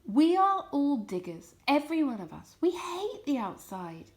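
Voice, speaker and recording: A woman's voice: sits high, very animated, unhurried, voice pushed up, full character voice. A woman voicing a jovial, confident male fox leader, making rousing speeches in a children's storybook. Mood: serious, rousing. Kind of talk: children's storybook character reading.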